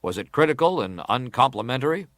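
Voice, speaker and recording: An actor playing a formal, persistent senator who questions a witness deliberately in a dramatised hearing. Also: male voice, medium pitch, big pitch swings, fairly bright tone, no echo, studio quality. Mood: insistent, stern. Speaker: interrogator at a hearing. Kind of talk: dramatised reading of hearing testimony.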